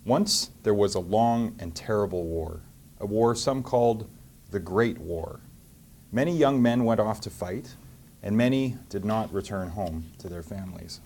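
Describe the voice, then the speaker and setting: A man with a Canadian accent speaking as a mayor to schoolchildren, in a measured, simply worded way. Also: lowish voice, darkish tone, a little hiss or hum. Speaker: mayor hosting and reading to children. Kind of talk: public talk and storybook reading to schoolchildren.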